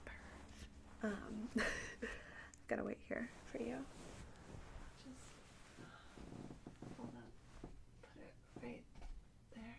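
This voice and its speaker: A soft-spoken woman chatting casually in an ASMR style, often dropping into whispers, playful and doting toward the listener. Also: fairly high pitch, very animated, drawn-out words, creaky, pauses often, noisy background. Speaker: ASMR creator talking to viewers. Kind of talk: casual rambling ASMR chat.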